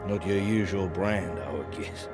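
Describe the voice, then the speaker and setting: An actor playing a cynical, gruff stranded pilot in a game drama, speaking in a dry, clipped, sardonic way. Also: male voice, low voice, a little slow. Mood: wry, resigned. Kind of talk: naturalistic video game drama acting. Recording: loud music over dialogue.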